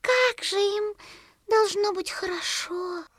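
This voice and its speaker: A woman's voice: extremely high pitch, takes it slowly, fairly bright tone, no room sound, studio quality, heavily acted. A woman playing a wistful, lonely young duckling in an audio fairy tale, plaintive and dreamy.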